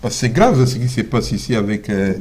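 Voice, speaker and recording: A male interviewer hosting a talk show, asking questions haltingly with many pauses and repeated words. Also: on the low side, expressive, a little mellow, breathy edge. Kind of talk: hesitant conversational interview.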